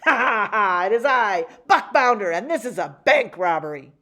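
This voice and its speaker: A rough, gloating bank robber who bellows his demands, played by a woman reading aloud. His voice swings wildly from line to line as he blusters. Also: mid-range voice, animated, moderate pace, bright, forceful tone, voice pushed up. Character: rough bouncing male bank robber villain.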